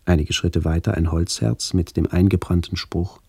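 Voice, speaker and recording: Very deep, gravelly, reflective man. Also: steady tone, moderate pace, darkish tone, no room sound, studio quality, heavily acted. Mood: pensive. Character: reflective man visiting his ageing family. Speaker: narrator. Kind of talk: literary radio play narration.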